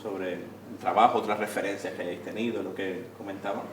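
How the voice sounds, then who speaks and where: A man moderates a public discussion in an unscripted way, with little variation in his rather low voice. The sound is distant and echoey. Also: steady tone, a little fast. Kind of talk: spontaneous moderating of a public talk. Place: indoor theater venue during a public discussion. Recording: echoey room, distant mic, clear noise.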